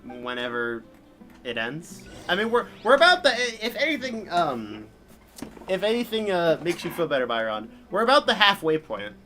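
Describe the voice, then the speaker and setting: A man livestreaming a game, chatting casually in an informal, halting, rambling way. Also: on the high side, very animated, bright, forceful tone. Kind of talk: casual chat while gaming. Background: game music.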